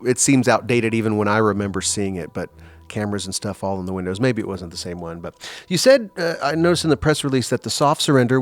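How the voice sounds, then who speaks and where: A man's voice: on the low side, no room sound. A man hosting an arts interview on the radio, asking curious questions.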